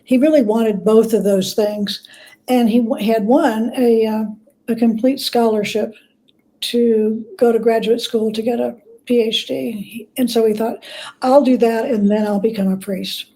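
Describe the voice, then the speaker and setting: High-pitched woman telling stories, mellow tone. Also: fairly even intonation, a little slow, low-quality recording. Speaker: guest biographer. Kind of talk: conversational anecdotal storytelling.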